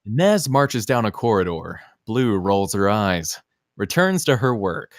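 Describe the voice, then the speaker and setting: A man's voice: lowish voice, big pitch swings, a little slow, no echo, studio quality. A man narrating screenplay stage directions at a table read in a dramatic, punchy way.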